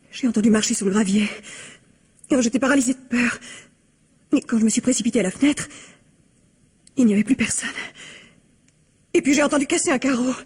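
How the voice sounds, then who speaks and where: An actress playing a dramatic wife in an old-fashioned radio mystery, with a breathy, theatrical way of talking. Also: female voice, high voice, a little mellow. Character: dramatic young wife, frightened then bitterly scornful.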